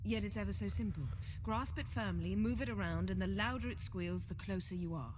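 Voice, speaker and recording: An actress playing a haughty, commanding sorceress with a cool, clipped manner in a video game. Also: female voice, mid-range voice, fairly quick, noisy background, close to an everyday voice. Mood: impatient, brisk. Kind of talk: video game cutscene acting.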